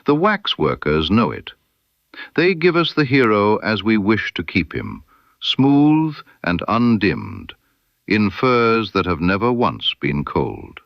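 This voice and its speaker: A man reads a period mystery story in a measured, literary manner. His voice is low and moves unhurriedly with lively intonation. The sound is dry and a little dull. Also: creaky, no echo. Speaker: narrator of a detective story. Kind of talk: scripted first-person narration of a period mystery story.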